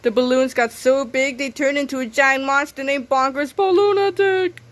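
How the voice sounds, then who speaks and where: A woman's voice: sits high, moderate pace. A woman reading a children's comic book aloud expressively, sometimes stumbling over words. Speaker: read-aloud storyteller.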